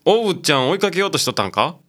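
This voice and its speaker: Radio drama local played by an actor: easygoing, nosy, very lively, swinging pitch. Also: racing, noisy background, natural voice. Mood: surprised, curious.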